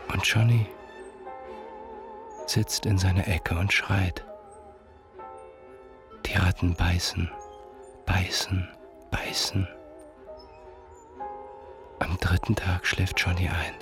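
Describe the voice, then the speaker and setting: A man's voice: sits low, flat delivery, music in the background. A man playing a brooding figure who recites incantatory lines in a German radio drama. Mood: brooding, menacing. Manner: slow, incantatory, repeating phrases. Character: brooding man darkly reciting a gruesome childhood memory.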